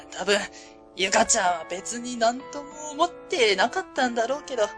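Casting: actress for warm middle-aged female factory boss, higher-pitched, courteous and fond, radio drama. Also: lively intonation, moderate pace, music in the background, close to an everyday voice. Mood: fond, delighted. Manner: polite, hesitant openers, fond chuckles. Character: friendly middle-aged woman company president, warm and modest. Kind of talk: Japanese web radio drama acting.

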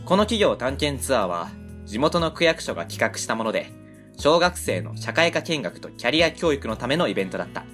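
Cast a woman as a folksy Kansai small-factory owner, late thirties, deep and lively, faint music behind. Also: brisk, heavily acted. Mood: reflective. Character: easygoing 39-year-old male small-factory owner. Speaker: narrator and lead character. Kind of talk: amateur web radio drama.

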